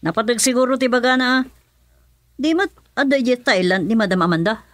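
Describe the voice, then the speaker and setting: A woman's voice: on the high side, expressive, fairly quick, a bit bright, slight background noise. A woman with an Ilocano accent playing a chatty, nosy woman in a radio drama.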